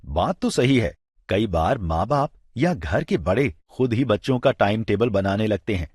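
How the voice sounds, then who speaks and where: Affable, inquisitive family man, educational drama, husky low-set voice with animated delivery. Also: moderate pace, no room sound, studio quality. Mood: agreeable, thoughtful. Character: good-humoured, curious, agreeable Indian father and husband. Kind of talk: scripted educational audio drama dialogue.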